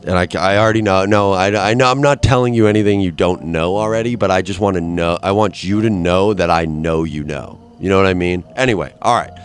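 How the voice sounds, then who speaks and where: A young man with a slightly low voice rambles alone on a podcast, crude and self-mocking, stopping and restarting his sentences. His nose is stuffed up, and faint music plays underneath. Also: fairly quick, few pauses. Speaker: podcast host. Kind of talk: rambling solo monologue.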